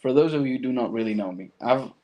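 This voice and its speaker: A man's voice: medium pitch, animated, fast, warm tone. A man from Argentina with a Latin American accent and Spanish as his first language, speaking as a guest and telling his personal story reflectively in conversation.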